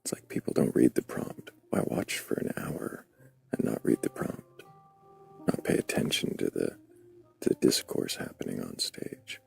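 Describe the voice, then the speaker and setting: A man hosting a livestream debate, speaking slowly in a dry, sardonic manner, often dropping to a near whisper. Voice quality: very low gravelly vocal fry. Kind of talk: livestream chat.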